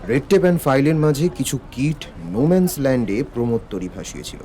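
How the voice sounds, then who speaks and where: An actor playing a calm, authoritative police detective with a cool, measured, cryptic manner in an audio thriller. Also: male voice, mid-range voice, slight background noise, close to an everyday voice. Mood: grave, resolute. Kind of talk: Bengali audio detective drama acting.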